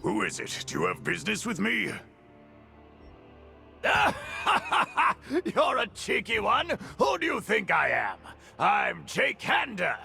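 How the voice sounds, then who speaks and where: A man playing a brash, boisterous rebel leader in a video game, with a gravelly voice and theatrical swagger. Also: sits high, huge pitch swings, slow pace, long pauses, pushed and bright, full character voice. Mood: boisterous, cocky. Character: brash, boisterous resistance leader who relishes a fight. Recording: music under the voice.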